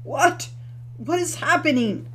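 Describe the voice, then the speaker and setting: A woman's voice: high-pitched, animated, fairly bright tone, slight background noise, voice pushed up, heavily acted. A woman voicing a weary, plain-spoken middle-aged gate guard in a storybook reading. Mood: startled. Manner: mild, unhurried, puzzled.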